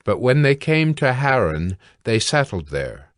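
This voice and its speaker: A man reading scripture as a scripted narration, measured and formal. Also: mid-range voice, sing-song, dry recording, studio quality.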